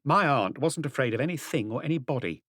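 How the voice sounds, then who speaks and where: Man voicing a curt, defiant middle-aged woman, pitched up. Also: expressive, fast talker, fairly bright tone, husky, dry recording, studio quality. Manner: curt, scornful retorts. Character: stony-faced, tough, distrustful middle-aged French woman, defiant and defensive. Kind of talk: audiobook character dialogue.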